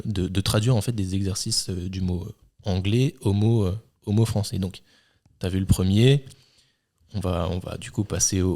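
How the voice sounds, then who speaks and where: A man's voice: deep voice, mellow. A man hosting a casual quiz segment, talking with many hesitations and fillers. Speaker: quiz host. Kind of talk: casual chat.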